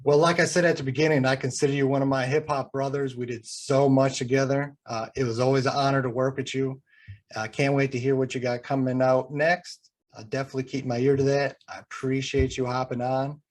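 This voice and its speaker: A man's voice: medium pitch, little pitch movement, brisk. An American man in his forties hosting a casual interview, informal and appreciative, readily agreeing with his guest. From United States. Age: 40s. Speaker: podcast host.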